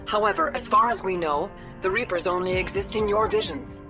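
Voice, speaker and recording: An actress playing a diplomatic, authoritative female alien councilor in a video game, measured and formal, with music behind her. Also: medium pitch, close to an everyday voice. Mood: calm, diplomatic.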